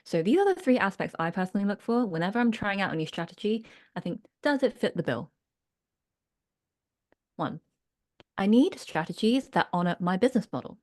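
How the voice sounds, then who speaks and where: A woman's voice: medium pitch, very animated, brisk, long pauses, a little mellow. A woman coaching business owners in a reflective, earnest online workshop, often checking in with her listeners. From United Kingdom.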